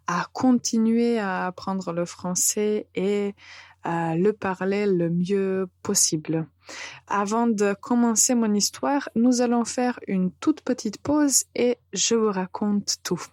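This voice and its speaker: Woman with Serbian accent, French teacher, slow deliberate pace, hesitant fillers, dry close mic. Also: mid-range voice, no echo. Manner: calm, deliberate, with frequent hesitations. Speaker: French teacher and podcast host. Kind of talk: slow podcast lesson.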